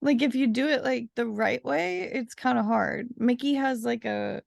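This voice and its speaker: A woman's voice: a little high, normal speed, gravelly, no echo, studio quality. A young woman co-hosting a podcast in casual chat, chatty and laughing often, leaning on "like" fillers. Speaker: podcast co-host.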